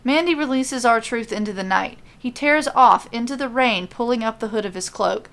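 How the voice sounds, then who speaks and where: A woman's voice: on the high side, normal speed, a bit bright. A woman narrating a scripted story as a podcast storyteller.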